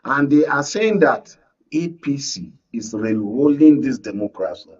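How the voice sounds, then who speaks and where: Male Nigerian political analyst, husky voice, insistent panel debater, dull off-mic studio sound. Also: mid-range voice, lively intonation, a little slow, darkish tone, far from the mic. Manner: insistent, holds the floor, repeats phrases. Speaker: panel guest, media analyst. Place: radio and TV studio.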